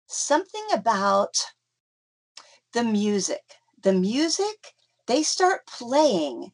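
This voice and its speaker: Middle-aged woman, interview guest, mid-range voice, rambling and chatty with lots of fillers. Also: medium pitch. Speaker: interview guest, folk dancer. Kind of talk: casual conversational interview.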